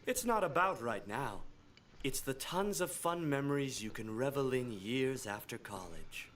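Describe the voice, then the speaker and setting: Patient, moralizing counselor for a visual novel: extremely low, earnest voice. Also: female voice, lively intonation, measured pace, slight background noise. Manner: earnest, lecturing. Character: patient, preachy adult high school counselor. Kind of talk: visual novel game voice acting.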